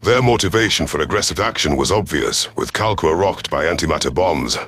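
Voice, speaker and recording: Deep-voiced man narrating military science fiction. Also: animated, a bit bright, husky. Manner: formal, measured, stilted wording. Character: stern alien reptilian military commander narrating. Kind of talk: scripted first-person story narration.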